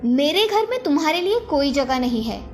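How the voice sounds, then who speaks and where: A woman's voice: high-pitched, big pitch swings, normal speed, faint music behind. A woman playing a stern, disapproving mother of a married daughter in a Hindi cartoon moral story. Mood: scolding.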